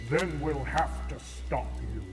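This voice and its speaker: Guy voicing cocky blustering god, higher voice, loud music all over it, audio drama. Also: takes it slowly. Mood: confident. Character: blustering, overconfident male elemental god.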